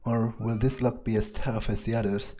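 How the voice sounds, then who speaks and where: A player narrating a video game in character, thinking aloud in a sardonic way with pauses mid-sentence. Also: mid-range voice, flat delivery, dark tone, a little hiss or hum. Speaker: game player role-playing his character.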